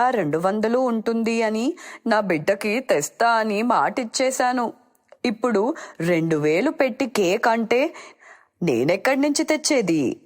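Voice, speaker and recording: Woman as a plaintive, expressive poor mother. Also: mid-range voice. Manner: pleading, plaintive, doting. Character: poor, devoted, anxious mother who works as a maid. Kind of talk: storybook dialogue for a moral tale.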